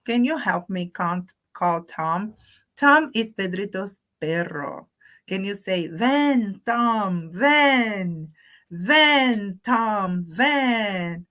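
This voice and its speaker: Slow-talking woman hosting children's storytime. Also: fairly low pitch, a bit bright. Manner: simple teacherly phrasing, mixing in Spanish words. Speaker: host of a children's storytime channel. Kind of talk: talking to young children on a livestream.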